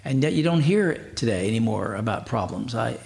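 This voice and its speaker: A man hosting an interview, chatty and quick to laugh, asking his guests leading questions. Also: mid-range voice, expressive, vocal fry, a little mellow. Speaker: radio interview host. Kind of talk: conversational interview.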